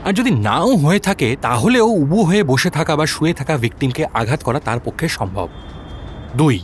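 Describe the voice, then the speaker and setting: A man's voice: fairly high pitch, sing-song, a little fast, slight background noise. A man acting a deferential, methodical junior police officer in an audio drama, reporting point by point.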